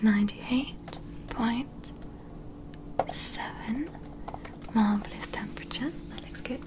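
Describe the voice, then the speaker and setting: Woman as a softly whispering alien medical examiner. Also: fairly high pitch. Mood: soothing, attentive. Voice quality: breathy unvoiced whisper. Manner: slow, soothing, reassuring, procedural. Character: gentle, attentive female alien medical examiner named Luna. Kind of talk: whispered ASMR medical roleplay.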